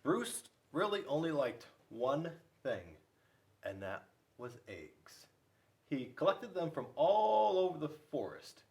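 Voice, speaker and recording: A man's voice: fairly high pitch, big pitch swings, takes it slowly, vocal fry, a little mellow. A man reading a picture book aloud to children as a school officer, playful and speaking directly to his listeners. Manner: playful, addressing young listeners with questions. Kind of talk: animated children's read-aloud.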